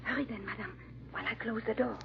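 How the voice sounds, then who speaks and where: A woman's voice: a little high, fairly even intonation, quick pace, gravelly, heavy background noise. A woman playing a loyal, timid housemaid in a 1940s radio drama, deferential and hesitant toward her mistress. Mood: earnest, nervous. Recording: dull, muffled old radio recording.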